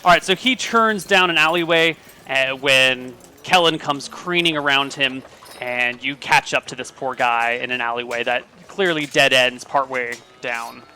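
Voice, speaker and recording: A man narrating as game master in a tabletop game, relaxed with fillers, over a video call. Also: mid-range voice, normal speed.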